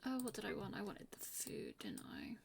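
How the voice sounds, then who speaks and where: A woman's voice: mid-range voice, wildly swooping pitch, a little fast, vocal fry, a little hiss or hum. A woman live streamer giving casual gameplay commentary, often muttering to herself. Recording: loud game music behind voice. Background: game music.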